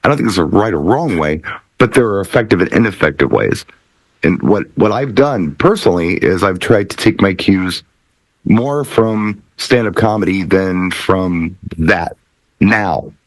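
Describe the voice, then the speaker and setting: A middle-aged man talking casually as a DJ panelist, with measured phrasing and deliberate pauses. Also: sits low, animated, no room sound, low-quality recording. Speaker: DJ and talk show panelist. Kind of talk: casual chat.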